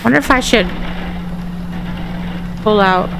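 A woman with a Southern accent and a raspy voice chats to the ghosts while playing a horror game. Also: mid-range voice, slow pace, noisy background. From Texas. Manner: talks to the game and its ghosts, jumpy and chatty. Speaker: gaming channel host. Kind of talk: horror game let's play commentary.

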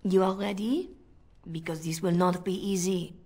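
A sly, seductive spymaster for a video game. Her voice is breathy and husky, and she slides between coaxing endearments and cool command, always in control. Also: lowish voice, fairly even intonation, moderate pace, dark tone. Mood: cool, reassuring.

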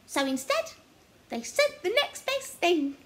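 Woman doing a brash, high-pitched little Christmas creature. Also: big pitch swings, takes it slowly, full character voice. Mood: boastful. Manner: loud, exclamatory, theatrical. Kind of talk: children's story character reading.

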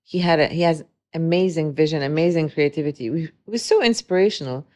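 A woman in her forties, a guest in an interview, talking reflectively with many pauses and restarts. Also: low-pitched, darkish tone. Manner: reflective, with frequent hesitations and restarts. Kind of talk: conversational interview.

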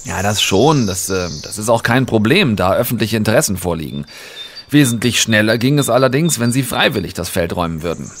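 A man's voice: on the low side, expressive, fairly quick, slight background noise. An actor playing an anxious, hesitant businessman in a horror audio drama. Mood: businesslike, hesitant. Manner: hesitant, stammering, urgent.

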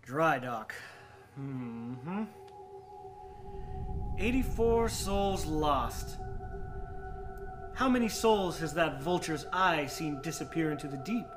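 An obsessed young madman narrating a gothic radio drama. His voice sits slightly high for a man and moves slowly, with brooding, deliberate menace. Music plays clearly behind him. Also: expressive.

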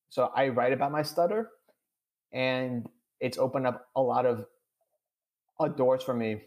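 Young man who stutters heavily. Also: medium pitch. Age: young adult. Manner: stutters, with many repeated sounds and drawn-out "uh"s. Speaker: podcast guest. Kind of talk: candid interview answers.

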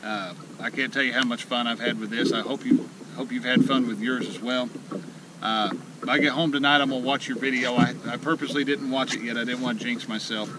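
A man, out of breath and excited, chatting folksily to the camera from a small boat on a lake as host of a fishing vlog. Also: medium pitch, brisk. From Illinois, USA. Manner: folksy and excited, rambling with many fillers. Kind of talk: casual outdoor vlog talk. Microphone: action camera mounted in the boat. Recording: loud wind and water noise outdoors.

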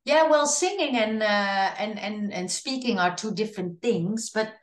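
A woman with a Dutch accent, a singer in her fifties, chatting in an animated way over a video call from her home with an earphone mic, often restarting her sentences. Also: on the high side, moderate pace, echoey room. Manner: animated, gestures with hands while talking, frequent restarts. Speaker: singer being interviewed. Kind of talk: casual video call interview. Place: home room. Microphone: video call, earphone headset.

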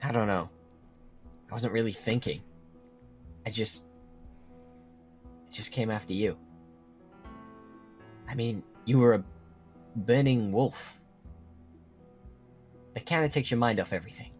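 A man's voice: fairly low pitch, a little slow, pauses often, darkish tone, lightly acted. A young man playing a protective, earnest teenage werewolf friend in a Minecraft roleplay drama, speaking in broken, dramatic phrases. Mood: concerned. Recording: music behind the voice.

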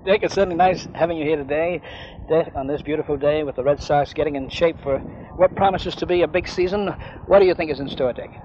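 Male radio interviewer, 1970 sports broadcast, formal and courteous, slightly high voice, muffled noisy tape. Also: fast, darkish tone. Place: on location at a spring training base. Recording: noisy, dull vintage 1970 radio recording.

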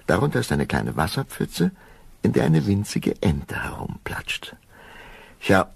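Slow, gravelly male fairy-tale storyteller. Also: a bass voice, dry recording. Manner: unhurried, expressive, storybook cosy address to listeners. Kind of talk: scripted fairy-tale narration.

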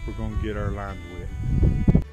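A man's voice: lowish voice, little pitch movement, unhurried, highs cut off, over loud music. A middle-aged American man giving casual vlog commentary outdoors, recorded on a handheld action camera. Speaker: homesteading vlog host. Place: outdoors on a homestead and at a lakeshore. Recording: outdoor camera audio with background noise.

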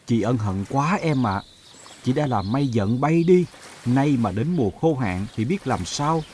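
A man's voice: mid-range voice, animated, unhurried, a little mellow, a little hiss or hum, close to an everyday voice. A man voicing a vain elder-sister lake in a cartoon fable, with a theatrical delivery. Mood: regretful. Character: vain, haughty elder-sister lake, a female water spirit.

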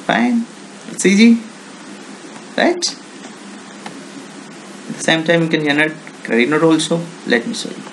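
A man with an Indian accent giving an informal, unscripted software tutorial in halting English with non-native phrasing. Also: a little high, big pitch swings, slight background noise, low-quality recording. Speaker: software demonstrator.

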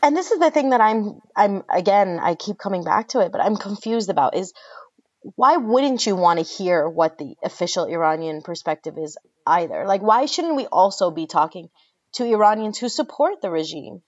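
A woman of middle pitch speaks as an academic guest, pressing her point with earnest rhetorical questions. Her audio is dry and dull, with a muffled lo-fi sound. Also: animated, normal speed, darkish tone, no room sound. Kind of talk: conversational podcast interview.

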